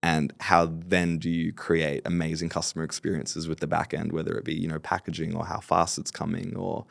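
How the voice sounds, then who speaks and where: A man's voice: low-pitched, level tone, brisk, vocal fry, no room sound, clean studio sound. A man in his late twenties, a podcast guest talking confidently and agreeably about his business. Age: 20s. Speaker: podcast guest, fulfillment company founder. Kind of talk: casual interview talk.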